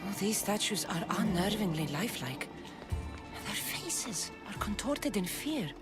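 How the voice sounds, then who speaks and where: A tough female mercenary from ancient Greece in dramatic game cutscenes. She is wry and blunt yet resolute, with very varied intonation. Loud music sits behind her lines. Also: medium pitch, sing-song, a little slow. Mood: wary.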